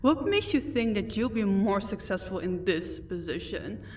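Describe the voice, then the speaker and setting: A woman's voice: mid-range voice, animated, moderate pace, a little mellow, slight background noise, natural voice. Woman acting a commanding, sardonic vampire, slightly distant. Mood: menacing interrogation. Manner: commanding, dryly sarcastic. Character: proud female vampire captor, sardonic, commanding, fond of her wife. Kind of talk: audio roleplay acting.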